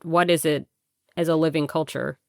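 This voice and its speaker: A woman's voice: deep voice, fairly even intonation, normal speed, vocal fry, dry recording, clean studio sound. A woman in her thirties hosting a casual interview, chatty and full of "like" and "you know.". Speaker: interviewer, podcast host.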